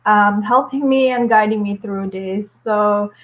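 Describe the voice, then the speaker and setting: A woman's voice: medium pitch, unhurried. A woman in her forties, a nurse and vlogger chatting on a casual live stream at home, upbeat, with many fillers and little laughs. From Philippines. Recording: dull lo-fi stream audio.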